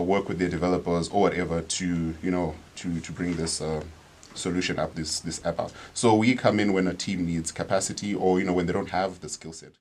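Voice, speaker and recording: Low-voiced relaxed young man. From Malawi. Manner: relaxed, loose, with many fillers. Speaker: guest. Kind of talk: radio interview. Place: radio studio.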